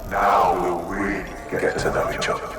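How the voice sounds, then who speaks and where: An actor playing an anonymous hacker villain in a video game, making an ominous, deliberate address to a city. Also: male voice, low voice, little pitch movement, close to an everyday voice. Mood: mock-friendly menace. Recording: echoing broadcast under loud music.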